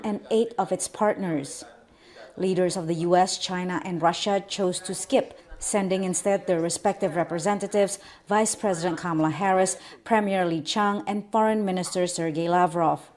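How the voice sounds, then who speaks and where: A woman news anchor giving steady scripted narration. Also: lowish voice, normal speed.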